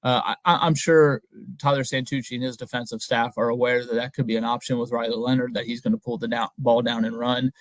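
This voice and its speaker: A young man, a guest reporter, giving measured, analytical answers in a casual interview over a video call. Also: medium pitch, little pitch movement, no room sound, low-quality recording. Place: indoor room at home. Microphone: webcam on a video call.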